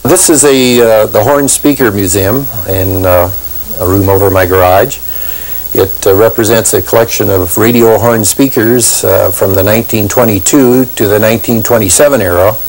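A man's voice: on the low side, normal speed, a little mellow, poor audio. A man, a collector, informally presenting his collection with some hesitation. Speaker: radio horn collector.

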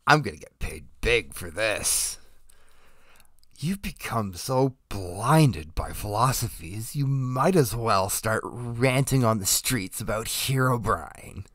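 A man's voice: fairly low pitch, lively intonation, slow, a bit bright, dry recording, studio quality, heavily acted. A man voicing a menacing, scornful fighter character for a demo reel.

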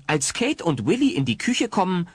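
An actor narrating a comic family audio play as a scripted, dramatic storyteller. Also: male voice, high-pitched, sing-song, normal speed, background noise. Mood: wry storytelling.

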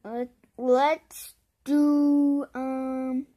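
A child with a high voice talks very slowly through a casual demo. The delivery is that of a young video host showing an app. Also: flat delivery, dark tone.

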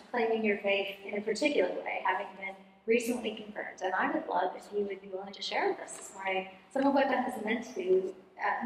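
A middle-aged woman priest interviewing parishioners in a church. She talks gently, with hesitant rephrasing. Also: medium pitch, slight background noise. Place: large church sanctuary. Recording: very echoey church hall.

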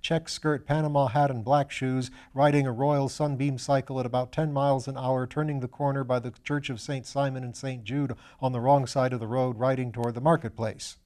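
A man voices a police detective in a storybook. He explains things plainly and factually, piling up detail. The voice is mellow and mid-pitched. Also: fairly even intonation, brisk, few pauses, lightly acted. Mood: matter-of-fact.

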